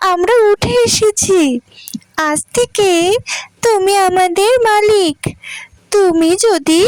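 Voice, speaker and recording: A woman's voice: very high-pitched, no echo, poor audio. A woman voicing a kindly, generous magic shrimp from a pond in a children's cartoon story. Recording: music under the voice.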